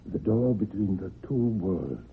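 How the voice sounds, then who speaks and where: An actor playing a calm, hypnotic male spirit from another world, in old-time radio drama style. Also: on the low side, gravelly. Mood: insistent, reassuring. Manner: slow, solemn, hypnotic, reassuring. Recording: dull lo-fi old radio recording, hiss.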